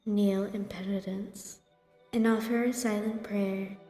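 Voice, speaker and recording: A woman playing a caring young warrior and elder sister in a fan dub, gentle, solemn and protective. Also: medium pitch, steady tone, measured pace, soft background music, lightly acted. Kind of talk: fan dub character acting.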